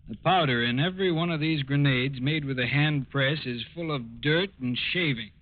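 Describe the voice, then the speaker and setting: An actor playing a grave, methodical lab examiner in a 1940s radio drama, explaining in a deliberate way. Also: male voice, mid-range voice, close to an everyday voice. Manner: deliberate, expository. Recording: tinny old-time radio recording.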